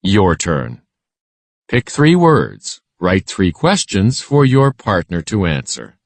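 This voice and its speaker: A man's voice: sits low, huge pitch swings, takes it slowly, no room sound. A man giving scripted educational narration, reading out instructions and headings for a lesson.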